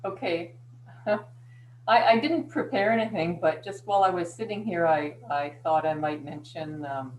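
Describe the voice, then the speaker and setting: A woman's voice: fairly low pitch, normal speed. A woman from Canada sharing a memory off the cuff on a video call, a little hesitant. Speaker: club member. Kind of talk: casual unprepared sharing. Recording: echoey, distant with faint hum.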